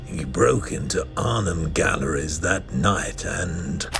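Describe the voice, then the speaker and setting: An actor playing a grave asylum doctor who narrates a grim tale in a solemn, ominous manner. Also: male voice, deep voice, lively intonation, slow pace, gravelly, fairly bright tone, faint music behind, exaggerated voice. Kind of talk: scripted dramatic narration.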